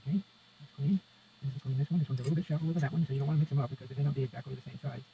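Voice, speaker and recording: A man talks viewers through hands-on work in a casual, step-by-step way, half to himself. His pace is quick. The recording is somewhat dull and lo-fi, with faint noise behind the voice. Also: medium pitch, fairly even intonation, gravelly. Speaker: presenter of a radio repair how-to. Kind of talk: casual hands-on demonstration talk. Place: indoors at a repair workbench.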